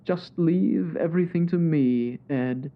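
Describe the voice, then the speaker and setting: A man's voice: fairly high pitch, a little slow, dull audio. A man playing a smug, scheming crime boss in the style of an old radio villain.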